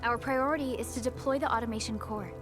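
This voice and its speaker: A woman's voice: a little high, fast, close to an everyday voice. A woman voicing a composed, analytical young supervisor in video game story dialogue. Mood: composed, decisive. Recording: music behind the voice.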